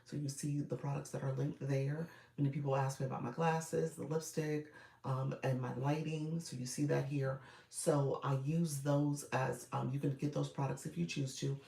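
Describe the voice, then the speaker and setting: A woman coaching viewers on live streaming in a casual, chatty way, congested from a cold with a froggy voice, often clearing her throat and using fillers. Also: rumbling low, flat delivery, normal speed, dark tone. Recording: roomy echo.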